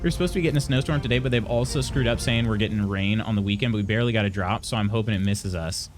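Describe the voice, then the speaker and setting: A young adult man streaming live, reading out chat messages and answering them in a halting, chatty way with frequent restarts. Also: lowish voice, music in the background.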